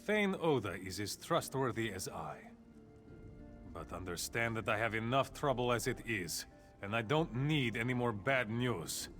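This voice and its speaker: A man's voice: mid-range voice, fairly bright tone, natural voice. A man playing an earnest, exasperated loyal thane and royal advisor in a video game, speaking with weary concern. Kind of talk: video game character acting.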